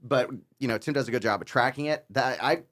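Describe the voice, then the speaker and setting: A man's voice: mid-range voice, fairly quick, a bit bright. Informal male podcast co-host. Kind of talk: casual panel discussion.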